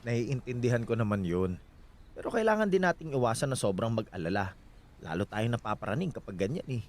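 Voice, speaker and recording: An actor playing a confident, protective newlywed husband in a radio drama, soothing yet insistent. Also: male voice, mid-range voice, very animated, fairly quick, a little hiss or hum, close to an everyday voice.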